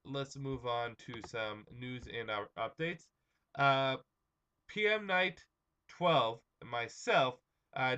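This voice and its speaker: A young man hosting his own comic review vlog, slightly hoarse, chatting casually with lots of ums and uhs. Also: medium pitch, pushed and bright, highs cut off.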